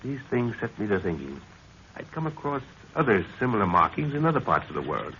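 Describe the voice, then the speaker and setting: Commanding older visionary scientist, low and very animated, 1930s radio adventure style. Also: male voice, brisk, exaggerated voice. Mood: eager, intent. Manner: emphatic, declamatory. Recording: dull, noisy 1930s radio recording.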